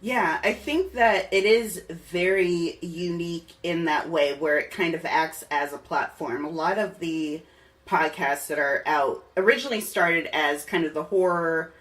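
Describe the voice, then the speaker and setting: A woman's voice: fairly low pitch, a little slow, a bit bright. A woman hosting a podcast and asking questions in a conversational interview style.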